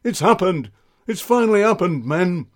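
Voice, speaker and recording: A man's voice: high voice, animated, normal speed, pitched above the performer's own voice. Man as a blustering, bossy icicle sergeant. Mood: urgent. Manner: barking, urgent military delivery.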